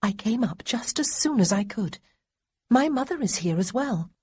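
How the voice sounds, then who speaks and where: A woman voicing Honoria, a nervous, tender young gentlewoman from a storybook romance. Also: on the high side, animated, husky, pitched above the performer's own voice. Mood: anxious. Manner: fluttery, quick, softly anxious.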